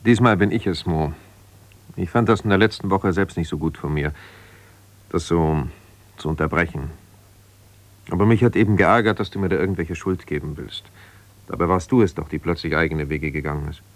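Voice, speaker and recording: A dry, close studio sound carries an actor as a bitter, self-righteous husband with a fairly low voice. He is a lawyer who argues down the phone, defensive, insistent and full of reproach. The delivery is naturalistic radio drama. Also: normal speed, long pauses, no room sound, close to an everyday voice. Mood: defensive, reproachful. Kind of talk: naturalistic German radio play, one side of a phone call.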